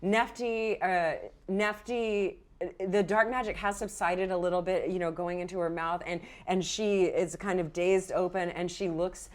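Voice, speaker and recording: A woman acting as game master, narrating a tabletop game casually with animated, exclamatory reactions. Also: on the low side, unhurried.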